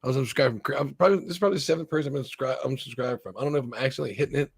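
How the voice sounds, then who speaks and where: A man's voice: mid-range voice, slightly rough, no echo, clean studio sound. A man chatting casually on a live stream, rambling and swearing. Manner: fast, profane, rambling complaints. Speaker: stream host.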